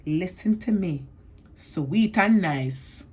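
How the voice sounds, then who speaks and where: A woman's voice: on the low side, animated, slow, darkish tone, husky. A young woman from the East Coast giving a chatty, sassy perfume review, often checking in with "okay?". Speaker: beauty vlogger.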